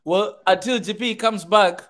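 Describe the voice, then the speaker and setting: A high-voiced male host keeps the chat moving, urging his guest on and reading out viewer comments. He sounds encouraging. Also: a little slow, fairly bright tone. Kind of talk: casual chat.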